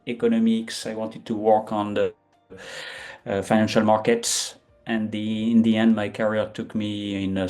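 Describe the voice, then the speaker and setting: Guest voice: fifties, French accent, lowish pitch, thoughtful and halting delivery. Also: male voice, darkish tone. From France. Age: 50s. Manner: hesitant, frequent fillers and restarts. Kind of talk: conversational interview.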